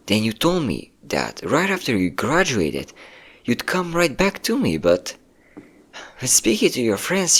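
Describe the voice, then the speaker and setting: A man's voice: medium pitch, a bit bright. A man playing a possessive, manipulative ex-boyfriend in a dramatic ASMR roleplay, coaxing with pet names and sweet-talking menace. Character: possessive, manipulative yandere ex-boyfriend hunting his hidden partner.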